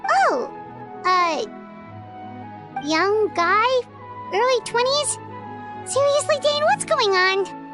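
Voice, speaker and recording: A tiny fairy girl with an extremely high, childlike voice. She is chatty and excitable, swinging up and down as she exclaims. It is video game character acting, with soft music behind her. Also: takes it slowly, long pauses, exaggerated voice. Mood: puzzled. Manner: exclamatory, refers to self in third person.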